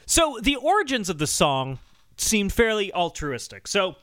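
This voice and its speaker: A man hosting a podcast, explaining things in a casual, animated and wry conversational way. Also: high voice, measured pace, no room sound. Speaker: podcast host.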